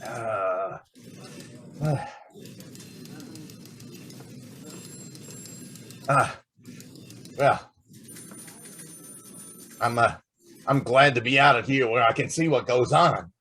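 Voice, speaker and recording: An actor playing a brash, impatient star reporter in an old-time radio drama, with a blustery manner. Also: male voice, medium pitch, slow, pauses often, bright, forceful tone, soft background music. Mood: flustered.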